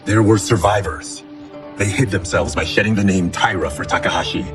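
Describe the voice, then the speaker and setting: Actor as a grim, low-voiced proud swordsman. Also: brisk, over music, close to an everyday voice. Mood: solemn, determined. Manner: terse, solemn, determined. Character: grim, proud young male swordsman of fallen clan. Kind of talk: cinematic video game acting.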